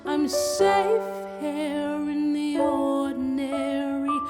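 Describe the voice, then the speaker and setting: A woman sings a slow musical theatre ballad in a very high register. Prominent piano accompaniment plays throughout. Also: level tone. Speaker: singer.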